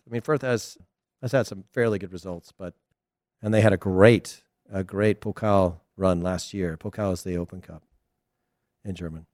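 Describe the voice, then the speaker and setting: A middle-aged man with a Southern American accent, hosting a casual soccer talk show, chatty and animated with frequent laughs. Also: on the low side, dry recording, studio quality. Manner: chatty, animated, laughs often, loose restarts. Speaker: soccer podcast host. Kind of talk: casual solo commentary.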